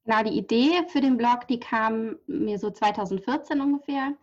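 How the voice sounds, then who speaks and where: A woman's voice: on the high side, moderate pace, darkish tone. A woman in her thirties from near Hamburg, speaking as a podcast guest in a chatty way, with many fillers and light laughs. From near Hamburg, Germany. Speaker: podcast guest, journalist and horse therapist. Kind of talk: casual interview talk. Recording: slightly dull lo-fi audio.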